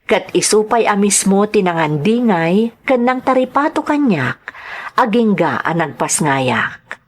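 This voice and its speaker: A woman's voice: medium pitch, very animated, a little slow. An actress playing a devoted, sentimental young wife and mother in an audio drama, speaking earnestly and emotively. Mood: wistful, reflective. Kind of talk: Ilocano audio drama, narration and dialogue.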